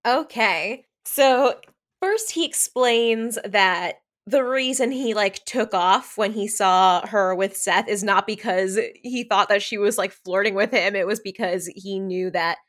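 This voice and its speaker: A woman co-hosting a casual book podcast, reading highlighted passages with enthusiasm. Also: unhurried, a bit bright. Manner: enthusiastic, gushing. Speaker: podcast co-host.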